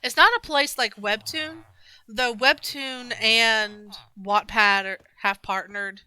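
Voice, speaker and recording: A woman's voice: fairly high pitch, fairly even intonation, slow, sharp tone, smooth. A young woman chatting casually and rambling while she plays a game on stream, with many restarts and fillers. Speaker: gaming streamer.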